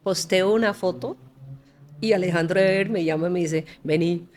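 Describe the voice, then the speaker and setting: A woman from the United States in her forties whose first language is English, telling her story as a podcast guest in an animated way, laughing often and restarting her phrases. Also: lowish voice, normal speed, a little hiss or hum. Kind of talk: candid conversational storytelling.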